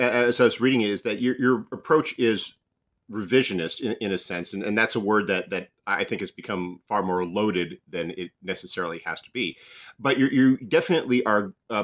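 Male interviewer, fairly low voice, stumbling but curious questions. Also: fairly bright tone. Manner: halting questions, repeats words. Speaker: podcast host. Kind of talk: podcast interview.

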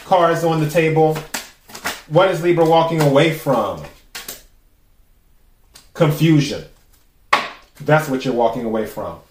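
A man with a raspy voice hosting a podcast, giving a casual, chatty tarot reading and musing aloud with drawn-out pauses. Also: a little high, noisy background. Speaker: tarot reader and podcast host. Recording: roomy echo.

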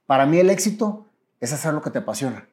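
A man's voice: fairly high pitch, very animated, fast, a little mellow. A man with a Mexican accent hosting a friendly conversational interview in an earnest, motivational way. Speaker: interviewer, show host.

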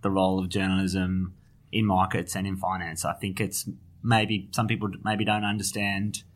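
A journalist with a South African accent, a guest on a podcast, talking in a thoughtful way with many restarts and fillers. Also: male voice, low-pitched, level tone, moderate pace. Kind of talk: podcast interview.

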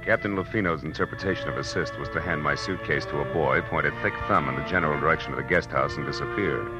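An American actor playing a hard-boiled, world-weary private detective in a 1940s radio drama, wry and deadpan in his delivery. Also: extremely low pitch, fast talker. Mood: wry narrating. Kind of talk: 1940s radio detective acting, first-person narration. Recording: old radio recording with music.

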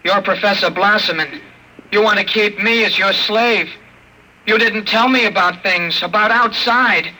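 High, piercing childlike robot. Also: male voice, bright, forceful tone, exaggerated voice. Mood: defiant. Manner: slow, literal, rote-repeating speech. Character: childlike obedient robot turned killer, flat and menacing. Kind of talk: 1950s radio drama acting. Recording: noisy vintage radio recording.

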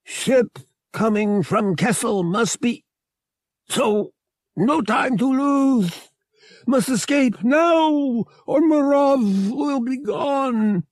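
High, drawn-out furry alien giant. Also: male voice, no room sound, heavily acted. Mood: urgent, anxious. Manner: clipped broken grammar, third-person self-reference. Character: huge furry male cat-like alien, earnest and anxious. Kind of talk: audiobook character voice.

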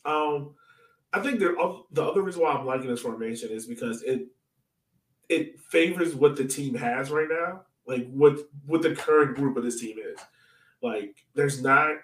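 Male soccer podcast host, mid-pitched, informal and slangy fan chat, very roomy sound, a little off mic. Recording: roomy echo, distant from mic.

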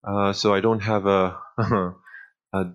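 A middle-aged man with a faint Israeli accent speaks in a somewhat low voice, pausing and weighing his words. The line sounds muffled and lo-fi. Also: flat delivery, clear, resonant tone. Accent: light Israeli. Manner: thoughtful, hesitant with pauses. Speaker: guest scholar. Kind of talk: interview answers.

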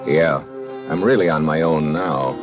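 A man's voice: rumbling low, measured pace. A man acting a tough, wry undercover agent in a 1950s radio drama, narrating and talking in a clipped hardboiled way. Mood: grim, wary. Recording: dull vintage radio recording with music.

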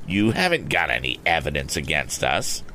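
A man putting on a sneering, impudent teenage bully with a whining edge, pitched above the reader's own deep voice. The lines swing in pitch, and faint noise runs underneath. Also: expressive, brisk, a bit bright, poor audio. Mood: defiant. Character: sneering red-haired teenage boy bully.

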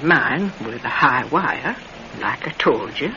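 An actress playing a slight old retired circus woman, meek yet sly, with a deliberate, folksy delivery in a radio drama. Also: extremely low pitch, animated, exaggerated voice. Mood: calm, reminiscing. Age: older. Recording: noisy, dull old radio drama recording.

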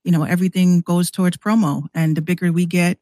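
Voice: medium pitch, level tone, fast, mellow, no echo, clean studio sound. A podcast guest who hosts a show, talking casually and at length in a rambling, conversational way.

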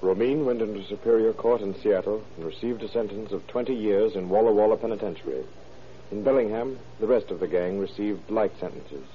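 A man's voice: lowish voice, a little fast, slight background noise. A man giving formal scripted narration on an old radio crime show. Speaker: epilogue narrator. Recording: narrow, tinny old radio broadcast.

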